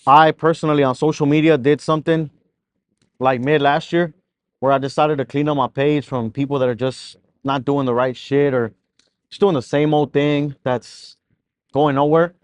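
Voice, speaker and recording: A man's voice: on the high side, fairly even intonation, normal speed, no room sound. Laid-back male podcast host. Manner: laid back, chatty, asks questions. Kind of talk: casual podcast interview.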